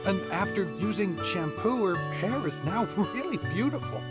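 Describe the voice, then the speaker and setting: A woman's voice: medium pitch, animated, moderate pace, close to an everyday voice. A woman voicing an earnest, generous young male student in a manga dub, with a flustered, hesitant way of talking, in dialogue and first-person narration. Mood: thoughtful, hesitant. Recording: music behind the voice. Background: background music.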